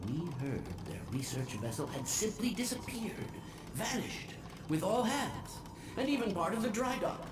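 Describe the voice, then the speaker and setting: A learned, earnest scientist from a video game. He speaks formally and dramatically with a lively, swinging pitch. The sound is roomy and distant, with clear background noise. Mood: grave wonder. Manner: theatrical, deliberate, formal. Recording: echoey, distant, noisy game audio.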